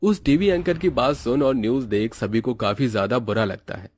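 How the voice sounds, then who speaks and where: A man's voice: medium pitch, moderate pace, a little mellow, a little hiss or hum. A man with an Indian accent giving scripted narration for a cartoon story.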